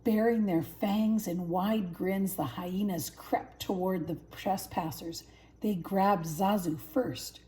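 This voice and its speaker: A woman's voice: medium pitch, steady tone, slow, warm tone, slight background noise. An older woman, a grandmother, narrating a children's story. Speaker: grandmother reading stories.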